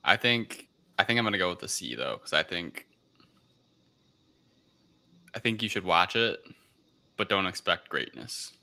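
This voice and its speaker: A young man with a low, gravelly voice gives his take on a casual review podcast. His tone is bright and forceful, and he sounds conversational throughout. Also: big pitch swings, a little slow, pauses often. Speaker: podcast panelist. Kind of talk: casual film review chat.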